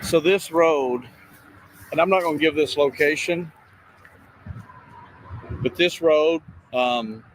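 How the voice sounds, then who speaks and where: A man narrating casually on location as a video streamer, speaking haltingly with pauses between phrases. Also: fairly high pitch. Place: outdoors by a road and woods. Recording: outdoor noise, distant freeway. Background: freeway and ambient outdoor noise.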